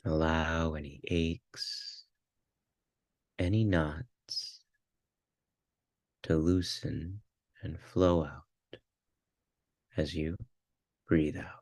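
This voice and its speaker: A man leading a guided meditation, giving calm, measured instructions in short phrases with pauses between them. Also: extremely low pitch, no room sound.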